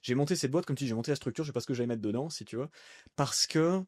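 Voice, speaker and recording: Fast-talking rambling French guest. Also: male voice, medium pitch, darkish tone, no room sound, studio quality. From France. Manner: rambling, many fillers and restarts. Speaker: podcast guest, entrepreneur. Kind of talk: casual conversational interview.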